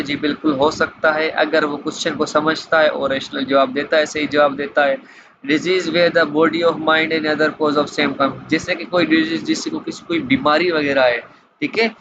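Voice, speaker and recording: A man giving an explanatory law lesson, reading statutes aloud and explaining them in a repetitive, question-and-answer way. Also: on the high side. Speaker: law lecturer. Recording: dull lo-fi mic with light background noise.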